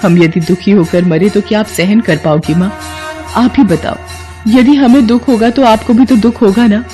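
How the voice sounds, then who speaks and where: A woman's voice: medium pitch, normal speed, dark tone, soft background music, close to an everyday voice. A woman voicing a humble, devout young wife in a story, plaintive and pleading. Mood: tearful pleading.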